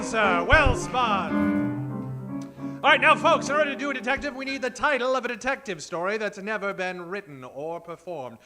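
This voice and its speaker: A man's voice: extremely high pitch, pushed and bright. A man hosting a live comedy show from a theater stage, talking like a theatrical old-time 1940s radio emcee and projecting to the audience.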